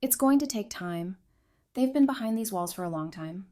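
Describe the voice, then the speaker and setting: A woman's voice: medium pitch, animated, moderate pace, a little mellow, close to an everyday voice. A woman playing a frank, curious survivor in an audio drama, with a wry conversational manner. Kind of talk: fan audio drama acting.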